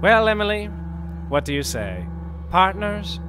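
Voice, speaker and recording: An actor playing a gleefully mad, pompous scientist in an audio drama, theatrical and sardonic in delivery. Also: male voice, high-pitched, very animated, a little slow, noisy background. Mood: sly.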